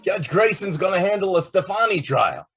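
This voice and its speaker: A man playing a determined, wisecracking newspaper reporter with a husky voice in an old time radio drama. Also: high-pitched, lively intonation, moderate pace, a bit bright. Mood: frustrated.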